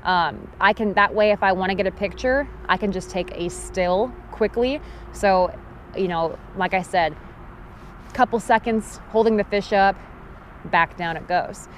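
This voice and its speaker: A woman in her thirties giving a casual, practical how-to talk to a handheld action camera on a river gravel bank, firm when stressing safety rules. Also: medium pitch, unhurried, slight background noise. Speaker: fishing vlogger. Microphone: action camera held at arm's length.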